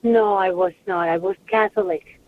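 A woman's voice: mid-range voice, steady tone, moderate pace, slight background noise. A woman from Puerto Rico with a Puerto Rican accent, a radio guest eagerly telling her life story. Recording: tinny phone line.

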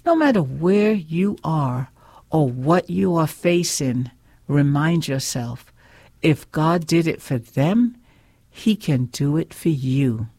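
Slow, earnest radio host woman. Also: low voice, animated, warm tone, dry recording. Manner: earnest, preaching tone, reads scripture aloud.

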